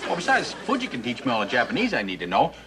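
An actor playing a bumbling, jittery young naval ensign in a 1960s TV sitcom, talking in a flustered, excitable way. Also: male voice, mid-range voice, racing, slightly rough, a faint crowd, exaggerated voice. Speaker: ensign, second in command.

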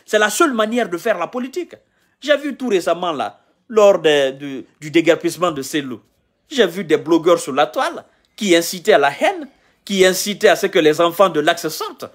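A man's voice: high voice, fairly bright tone. A man in his thirties from Guinea, ranting heatedly in a selfie live video, lecturing and repeating his points, filmed on a phone held at arm's length in a small room. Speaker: political commentator. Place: indoors, small room, on a sofa.

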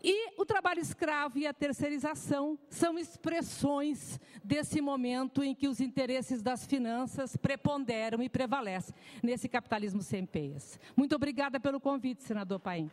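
A woman's voice: high-pitched, moderate pace, a little mellow. A woman in her sixties from southern Brazil, speaking at a podium microphone as a panelist in a formal public hearing, emphatic and gesturing. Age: 60s. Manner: emphatic, gesturing with both hands. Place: large hearing room.

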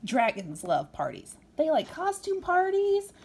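Woman, high, very lively storybook read-aloud. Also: a little slow, a little hiss or hum. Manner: playful, expressive, with dramatic emphasis. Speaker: storybook reader. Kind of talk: animated read-aloud to a young child.

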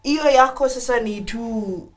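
A woman's voice: on the high side, measured pace. Blunt young Kenyan woman co-host. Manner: blunt and playful. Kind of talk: casual chat mixing English and Swahili. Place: pink studio set, indoors.

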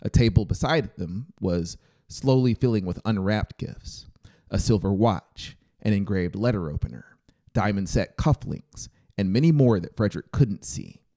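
Man, thirties, audiobook narration, low pitch, dark mellow tone, close studio mic. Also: lively intonation, normal speed. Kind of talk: scripted story narration. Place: small brick-walled room indoors. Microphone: close studio microphone on boom arm.

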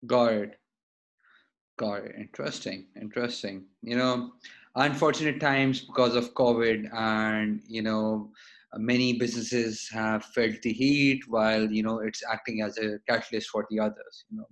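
A man's voice: on the low side, fairly even intonation, normal speed, rough recording. A man in his thirties hosting a conversational interview over a video call from a plain room. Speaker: show host, interviewer.

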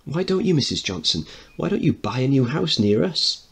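A man's voice: mid-range voice, very animated, normal speed, creaky, breathy edge, pitched above the performer's own voice, exaggerated voice. A man voicing an earnest upper-class little boy with polite, pleading manners. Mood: eager.

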